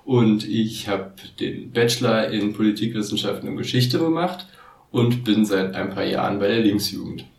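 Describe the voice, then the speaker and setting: A young man in his twenties hosting a casual podcast, with a low, gravelly voice. He asks questions in a relaxed, friendly way, heard from some distance in an echoey room. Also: normal speed. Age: 20s. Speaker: podcast host. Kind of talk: casual interview. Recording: roomy echo, mic at a distance.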